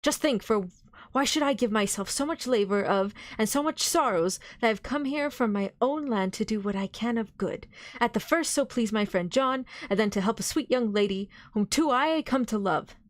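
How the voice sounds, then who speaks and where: A woman's voice: a little high, animated, fairly quick, no room sound, studio quality, pitched above the performer's own voice, close to an everyday voice. A woman voicing a grave elderly foreign professor in a read-aloud novel, speaking formally, sternly and earnestly.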